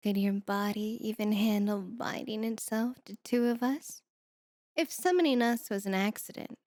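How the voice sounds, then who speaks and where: Playful succubus demon, played by a woman: coy temptress, slightly high voice, intimate dry sound. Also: darkish tone, dry recording. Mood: teasing. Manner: coy, teasing delivery. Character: sultry, mischievous female succubus demon, playful and taunting. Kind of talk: flirty ASMR roleplay acting.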